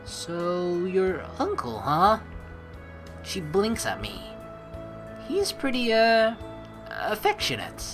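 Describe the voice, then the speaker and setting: A woman hosting a let's play, reading game narration and dialogue aloud with playful, expressive commentary. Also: medium pitch, slow, long pauses. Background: game music.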